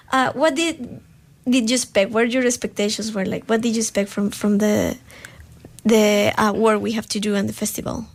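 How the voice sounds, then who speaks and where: A young woman from Rica, hosting a live radio chat in a studio, upbeat but hesitant with many fillers. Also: on the high side. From Costa Rica. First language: Spanish. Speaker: radio host. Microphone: studio microphone.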